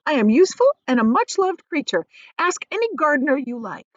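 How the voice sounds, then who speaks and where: A woman's voice: sits high, big pitch swings, a little fast, dry recording, muffled recording, voice pushed up. A woman voicing a prim, indignant male earthworm in a storybook, grumpy and disapproving.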